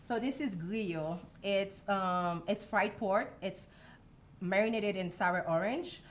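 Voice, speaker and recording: A young woman from Haiti, a food truck owner, explaining her dishes in a studio interview with soft, halting speech and many pauses. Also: medium pitch. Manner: soft-spoken, explains step by step with many ums. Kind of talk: casual interview.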